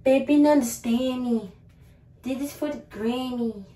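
A woman's voice: high-pitched, a little slow. A woman in her twenties, a little tipsy, rambling to camera in a casual vlog and giving an earnest pep talk full of fillers. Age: 20s. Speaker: vlogger. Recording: loud background noise.

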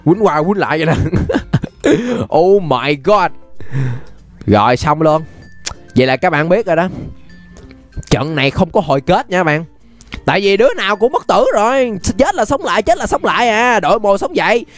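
A man's voice: high-pitched, expressive, moderate pace, faint music behind. A young man with a Southern Vietnamese accent giving casual, playful, exclamatory gameplay commentary as a YouTube host. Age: young adult. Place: indoor room, webcam setup.

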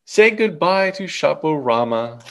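A middle-aged man reading a children's story aloud in an expressive, deliberate way. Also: a little high. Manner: animated, deliberate. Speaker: picture book reader.

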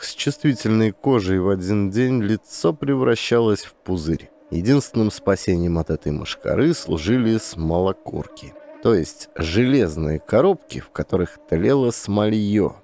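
A man narrating an audiobook in a measured storytelling reading, close to the mic. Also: on the low side, creaky, dark tone, a little hiss or hum. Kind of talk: scripted narration.

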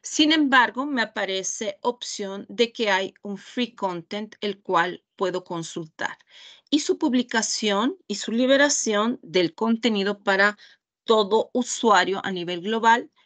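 Mexican woman, webinar trainer, very unhurried, methodical screen-share walkthrough. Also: mid-range voice, fairly bright tone. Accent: Mexican Spanish. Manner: slow, deliberate, pausing often. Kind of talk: screen-share product demonstration. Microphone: video call.

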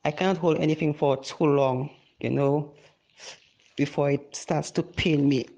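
A man playing a weary, affectionate injured partner in an amateur audio drama, measured and reassuring. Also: fairly high pitch, fairly even intonation, darkish tone, highs cut off, a little hiss or hum. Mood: reassuring, pained.

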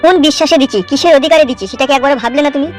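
Someone voicing a very fast, scolding cartoon young man. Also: high-pitched, soft background music, lightly acted. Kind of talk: comedy cartoon dialogue.

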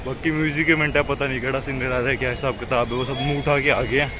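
A man's voice: mid-range voice, fairly even intonation, fast talker. A man, chatting casually and jokingly as a vlogger. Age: 20s. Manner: joking, colloquial. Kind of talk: casual vlog chat. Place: outdoor streets and busy mall. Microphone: phone at arm's length. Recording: very noisy phone vlog sound. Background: loud urban ambience.

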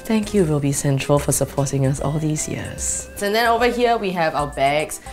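A woman's voice: low voice, animated, moderate pace, darkish tone, faint music behind. A young woman from Singapore with a Singaporean English accent, chatting in a bubbly, giggly way as the host of a beauty show, filmed in a small apartment set. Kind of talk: casual vlog chat. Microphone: off-camera mic.

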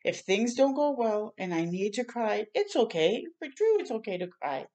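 A woman's voice: a little high, big pitch swings, moderate pace, warm tone. An older woman doing a children's storytime, reading a picture book aloud and adding encouraging, chatty asides. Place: small room at home, indoors.

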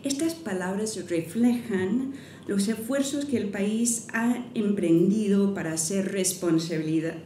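A middle-aged woman, not a native speaker, giving a careful, halting academic lecture at a podium, partly read aloud, with many restarts. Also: mid-range voice, mellow, slight background noise. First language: not Spanish. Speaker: guest lecturer. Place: university lecture hall, at a podium. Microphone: podium microphone. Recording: echoey hall, mic from a distance.

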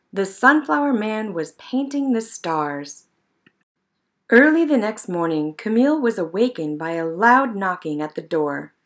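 A woman's voice: mid-range voice, a bit bright. Woman reading a children's storybook aloud. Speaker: storybook reader. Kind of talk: scripted narration, read-aloud of a children's picture book.

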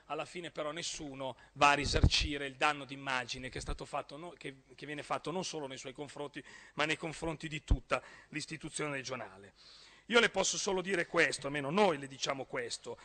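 A man in his thirties with a northern Italian accent addresses a council, speaking into a desk microphone and gesturing as he pushes his points. His voice is very bright and cutting, a little higher than most men's. The chamber adds a long, ringing reverb. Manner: forceful, rising to emphatic outbursts, gestures while speaking. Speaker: regional councillor. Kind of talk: parliamentary speech. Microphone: desk gooseneck microphone.

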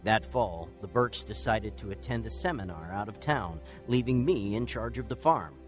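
A man's voice: on the low side, expressive, moderate pace, over music, natural voice. A man acting as narrator of a radio drama, playing someone earnestly recalling his bitter, lonely youth. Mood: reflective. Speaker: narrator and lead actor. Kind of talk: scripted first-person radio drama narration.

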